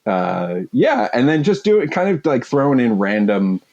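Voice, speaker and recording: A man chatting casually as an interview guest, rambling and halting as he searches for words. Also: medium pitch, big pitch swings, a little mellow, highs cut off. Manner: halting, rambling, heavy use of like. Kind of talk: casual chat.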